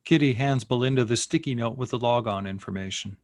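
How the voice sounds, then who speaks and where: A man's voice: medium pitch, fairly quick, a little mellow, dry recording, clean studio sound. A man reading out scene headings and stage directions as narrator of a screenplay.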